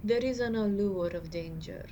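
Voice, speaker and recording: A woman playing a seductive, imperious immortal vampire queen with a put-on accent, speaking in breathy, formal, deliberate phrases for an ASMR roleplay. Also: mid-range voice, dark tone, lightly acted. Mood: imperious, alluring. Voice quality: breathy, often dropping to a whisper. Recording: clear background ambience noise.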